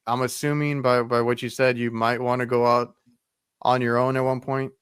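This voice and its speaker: A man in his thirties hosts an easygoing chat. He asks questions with lots of fillers. His voice is smooth, with a fairly flat melody, and the sound is close and dry. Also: mid-range voice, moderate pace, dry recording. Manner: friendly, full of fillers and restarts. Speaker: podcast host, HVAC technician. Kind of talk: casual interview talk.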